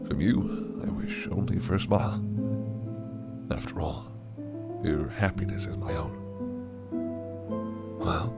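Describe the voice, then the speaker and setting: An actor playing a possessive, scheming fae prince who speaks in silky, archaic phrases that can turn menacing. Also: male voice, sits low, creaky. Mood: coaxing. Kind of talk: audio roleplay acting.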